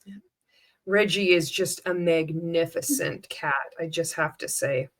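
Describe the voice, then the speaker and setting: A woman's voice: low-pitched, unhurried. A woman hosting a casual interview, playful and supportive. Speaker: host.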